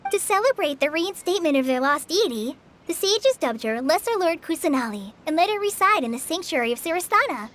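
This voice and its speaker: A woman's voice: extremely high pitch, sing-song, fairly quick, hardly any pauses, a bit bright, a little hiss or hum, heavily acted. A woman voicing an earnest, eager young trainee forest ranger in a video game, with a light youthful voice. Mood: earnest, informative. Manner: earnest, helpful, explanatory. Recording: music behind the voice.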